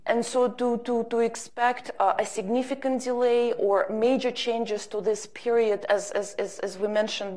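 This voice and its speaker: A woman's voice: medium pitch, darkish tone. A woman speaking on a conference panel, answering questions carefully with many fillers and restarts. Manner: hesitant, careful, many ums and self-corrections. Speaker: panel speaker on energy markets.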